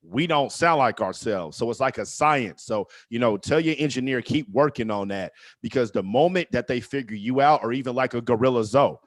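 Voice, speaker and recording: A deep-voiced man from Indianapolis, a guest reviewer giving laid-back, frank feedback on a track with some swearing. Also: fairly quick, hardly any pauses, no echo.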